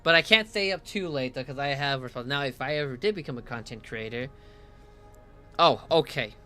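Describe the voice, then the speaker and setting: A man's voice: mid-range voice, soft background music. A man streaming a game from home on a headset, chatting casually and reading in-game text aloud, tripping over hard names. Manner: reads lore in a slower, calmer voice.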